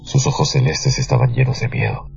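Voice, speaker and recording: Un actor de radionovela que interpreta a un superviviente traumatizado que narra en primera persona, vacilante, buscando las palabras. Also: male voice, a bass voice, fairly even intonation, creaky, darkish tone, dull audio, soft background music. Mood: somber recounting. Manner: halting, searching for words. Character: traumatized male survivor, husband and father, recounting invasion. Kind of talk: radio drama, first-person dramatic narration.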